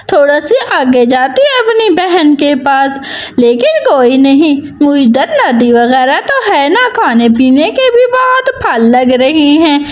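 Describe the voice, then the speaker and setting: A woman's voice: sits high, heavily acted. A woman voicing a hardworking, wronged little female cartoon bird, plaintive yet determined and earnest.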